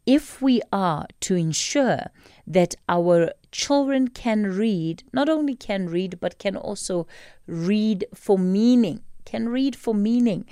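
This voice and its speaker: A South African woman hosting a live radio talk show, speaking in a measured, emphatic way and repeating phrases for weight. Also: medium pitch, lively intonation, warm tone, smooth, no room sound, studio quality.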